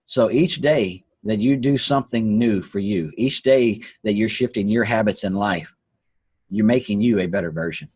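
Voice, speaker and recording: A hoarse man who is losing his voice hosts an earnest, preachy motivational talk and often repeats his phrases. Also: fairly low pitch, normal speed, a little mellow, no room sound. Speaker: host. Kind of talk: motivational talk on a live online call.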